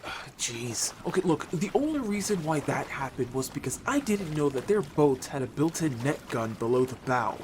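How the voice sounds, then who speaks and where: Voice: mid-range voice, big pitch swings, normal speed, noisy background, lightly acted. An actor playing an upbeat, bold young merfolk scout in an audio story, chatty, casual and playfully defensive. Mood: defensive, eager. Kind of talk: audio story character acting.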